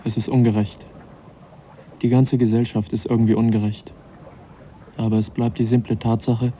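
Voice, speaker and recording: An actor playing a modest, sceptical young English naturalist in a German radio drama, speaking in a reflective, politely questioning way. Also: male voice, on the low side, mellow, lightly acted. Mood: reflective, regretful. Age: young adult. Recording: dull, faintly noisy radio drama recording.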